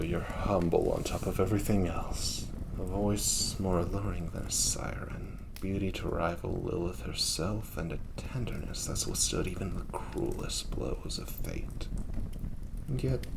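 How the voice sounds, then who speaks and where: A man playing a suave, commanding demon prince in a fantasy audio roleplay, speaking in silky, formal, unhurried phrases with possessive, flirtatious indulgence. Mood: admiring, tender. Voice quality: low gravelly voice, often dropping to breathy murmur. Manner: silky, formal, unhurried, haughty courtly speech. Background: crackling fire ambience.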